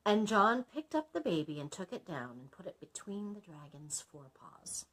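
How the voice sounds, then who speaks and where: A woman's voice: lowish voice, big pitch swings, normal speed, fairly bright tone, poor audio. A woman reading a children's story aloud as a librarian, expressively, with small asides to listeners.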